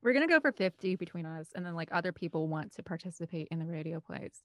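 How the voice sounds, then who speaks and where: Female interview guest, somewhat low voice, chatty and eager, very little room sound. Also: animated, fast, no echo. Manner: chatty, rambling, enthusiastic. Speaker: podcast guest, film composer. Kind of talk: casual chat.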